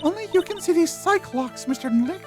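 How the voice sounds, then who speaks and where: Man putting on a high, polite little girl. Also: animated, music in the background. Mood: solemn, instructive. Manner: refined, polite, halting. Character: polite, earnest little girl spirit medium in training. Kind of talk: video game dialogue voice-over.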